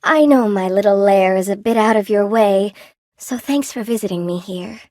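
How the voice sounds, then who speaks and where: Video game role: female actor as lonely spider-woman seamstress, tender and eager to please, courteous old-world phrasing, medium pitch, dry close sound. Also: moderate pace, darkish tone, no echo, lightly acted. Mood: warm, welcoming. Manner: polite, sweetly attentive, old-fashioned genteel phrasing. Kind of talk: video game character voice acting.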